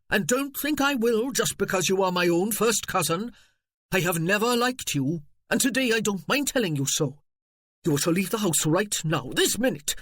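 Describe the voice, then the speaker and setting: A puffed-up, blustering gentleman cousin, as a male narrator puts him on in a period storybook. He talks high and loud with big swings in his voice, full of his own importance. The recording is dry and close. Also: no room sound. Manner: pompous, blustering, then fawning. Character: pompous blustering male cousin, middle-aged.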